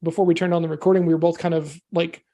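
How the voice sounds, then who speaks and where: A man's voice: on the high side, level tone, racing, warm tone. A man from the United States, a podcast guest talking casually and energetically, often adding "right?" and "you know". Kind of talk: casual discussion.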